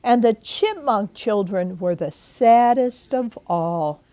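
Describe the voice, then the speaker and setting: Slow-reading older woman with lively pitch. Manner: slow and deliberate, with asides and questions to young listeners. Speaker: grandmother reading a picture book aloud. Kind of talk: expressive children's storybook read-aloud. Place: indoors at home.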